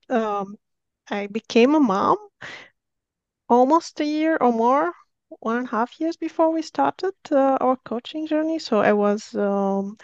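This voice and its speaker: Hesitant Syrian-born woman with a smooth voice. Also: fairly high pitch, darkish tone, dry recording, muffled recording. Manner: hesitant, many fillers, careful non-native phrasing. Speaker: guest, software engineer. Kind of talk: podcast interview.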